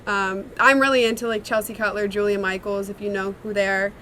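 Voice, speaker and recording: A woman's voice: mid-range voice, level tone, a little fast, bright, forceful tone, a little hiss or hum. A young woman, a singer-songwriter, answering interview questions in a chatty, reflective way with many fillers. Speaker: songwriter and singer in a sister duo.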